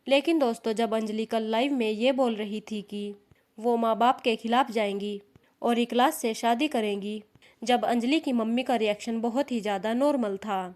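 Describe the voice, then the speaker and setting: A woman's voice: fairly high pitch, moderate pace, a little mellow. A woman narrating scripted social media commentary, addressing viewers in an opinionated, admonishing way. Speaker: narrator of a social media gossip news channel.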